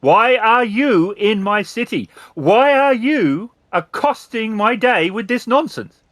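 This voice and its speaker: A man's voice: sits high, expressive, slow pace, a bit bright, dry recording, studio quality, pitched above the performer's own voice, heavily acted. A man voicing a haughty, irritable middle-aged samurai governor in a tabletop game, speaking with imperious contempt. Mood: indignant, scolding.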